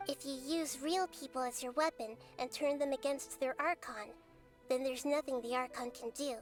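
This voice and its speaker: A woman's voice: high voice, fairly even intonation, normal speed, faint music behind, lightly acted. Woman voicing a wise young girl goddess. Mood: composed. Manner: composed, gently stern. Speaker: game character.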